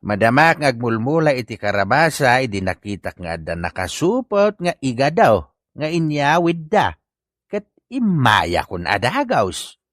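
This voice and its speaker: A greedy, cheeky Ilocano uncle from a comedy drama, acted by a man, wheedling in an animated mid-pitched voice. The accent is Ilocano and the sound is close and dry. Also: unhurried, no echo. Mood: nosy, wheedling.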